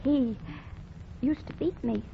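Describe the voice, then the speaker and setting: A muffled, lo-fi 1940 radio recording of a young shopgirl. Her voice is very high, and she speaks in a plucky, wry, plain-spoken way, in old radio comedy style. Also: slow pace, gravelly, a little hiss or hum, exaggerated voice. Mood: meek. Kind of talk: 1940s radio comedy acting.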